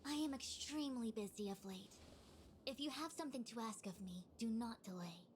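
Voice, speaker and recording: A woman plays a self-assured young diviner official from a video game. Her voice is fairly high, with formal, precise, imperious delivery. There is faint noise in the game stream capture. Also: a little fast, natural voice. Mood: brisk, self-assured.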